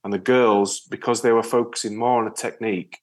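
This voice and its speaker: Low-voiced northern English man, halting and conversational. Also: fairly even intonation. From Yorkshire, England. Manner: rambling, halting delivery with repeated words. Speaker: interview guest, ocean rower and spearfisher. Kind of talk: casual conversational storytelling.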